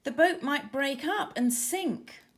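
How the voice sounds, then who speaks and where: A woman's voice: high-pitched, expressive, slow, pitched above the performer's own voice. A woman voicing a brave, eager young girl firefighter in a children's storybook. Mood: excited, urgent.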